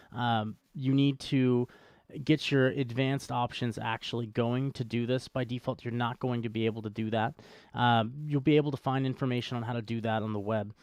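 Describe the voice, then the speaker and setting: A man's voice: medium pitch, darkish tone, dry recording. A man co-hosting a livestream, explaining things casually in informal, laid-back phrasing. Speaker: co-host of a crypto show.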